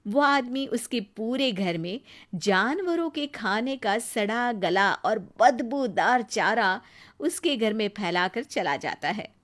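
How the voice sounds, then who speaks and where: A woman's voice: a little high, lively intonation, takes it slowly, fairly bright tone. A woman narrating a scripted moral story as a storyteller.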